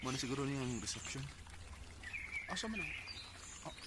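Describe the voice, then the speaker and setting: A man's voice: mid-range voice, very animated, moderate pace, very noisy, close to an everyday voice. A man acting a selfish, ambitious young gig singer in a Bisaya radio drama, with a Cebuano Filipino accent and an emotive, hesitant, defensive manner. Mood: eager.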